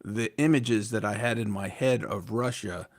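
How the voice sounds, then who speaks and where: Gravelly fifty-something man hosting. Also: on the low side, steady tone, moderate pace, no room sound. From United States. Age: 50s. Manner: loose, chatty questioning with fillers. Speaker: interview host. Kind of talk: casual interview chat.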